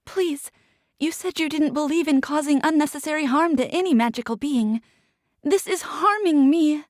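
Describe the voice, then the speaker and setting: A woman voicing a terrified young heroine who pleads in a high, desperate voice. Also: normal speed, no room sound, studio quality, lightly acted. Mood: desperate pleading.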